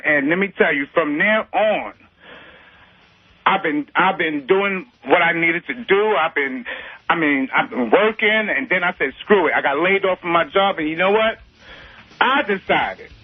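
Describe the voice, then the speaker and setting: A man's voice: sits high, no room sound, muffled recording. A man calling a radio show, speaking emphatically in streetwise slang and repeating phrases for emphasis. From New York area, US. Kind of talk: animated call-in testimonial. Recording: dry, band-limited call on radio.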